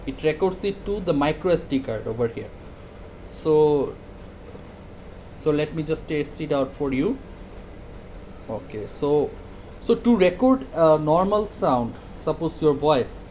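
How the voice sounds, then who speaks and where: A man demonstrating a product casually, hesitant and halting, often repeating his words. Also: on the high side, a little mellow, highs cut off, a little hiss or hum. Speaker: product reviewer.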